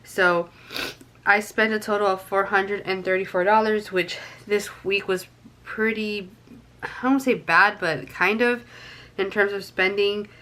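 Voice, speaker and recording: A woman's voice: mid-range voice, fairly even intonation, unhurried, sharp tone. A young woman with a stuffy nose from a cold, chatting casually to camera and counting cash aloud with frequent ums. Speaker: budgeting vlogger.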